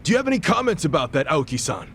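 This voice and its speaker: An actor playing a polite, formal television interviewer in a video game's broadcast scene. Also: male voice, a little high, sing-song, racing, a bit bright, slight background noise. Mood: upbeat, formal. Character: brisk, deferential male television news interviewer. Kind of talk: broadcast presenting in a game cutscene.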